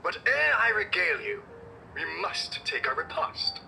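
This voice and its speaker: An ancient robot knight captain, chivalrous and grandiose. The voice is piercingly bright and gravelly, leaping dramatically through florid, archaic lines. Game music plays behind it. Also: male voice, very high voice, wildly swooping pitch, moderate pace, a little hiss or hum, exaggerated voice, rough recording. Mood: grandly courteous. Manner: pompous, archaic, florid speech. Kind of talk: theatrical video game voice acting.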